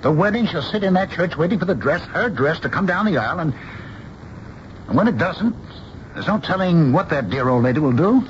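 An exasperated, middle-aged American dad in a radio play, acted by a man. His pitch leaps up and down as he insists and stammers. The old broadcast sound is dull with a faint hiss. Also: animated, fairly quick, natural voice. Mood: insistent. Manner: insistent, stammering, repeating himself. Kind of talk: radio drama acting.

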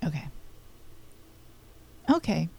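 Slow-talking woman co-hosting a podcast. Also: a little mellow. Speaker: podcast co-host. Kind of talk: casual chat.

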